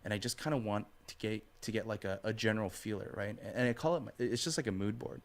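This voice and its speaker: A man's voice: fairly low pitch, fast talker. A man speaking as a guest artist in a casual podcast interview, thoughtful and hesitant, repeating phrases and checking in with "right" and "you know". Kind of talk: casual podcast interview, explaining art process.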